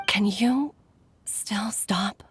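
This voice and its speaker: A woman playing an earnest, weary healer in a game, speaking in a reflective, deliberate manner. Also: medium pitch, husky, exaggerated voice. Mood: worried, regretful. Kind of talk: scripted game dialogue.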